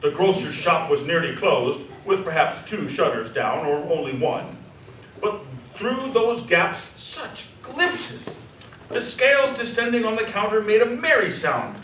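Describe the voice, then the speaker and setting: A man narrating a scripted story dramatically, savoring the descriptive words with expressive delivery. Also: high voice, measured pace, exaggerated voice. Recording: echoey hall, distant mic, faint noise.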